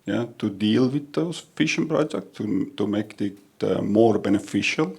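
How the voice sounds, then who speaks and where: A man puts a question at a seminar in a low, husky voice with a creaky edge. He is measured and searches for his words. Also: a little mellow. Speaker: regulator, audience questioner.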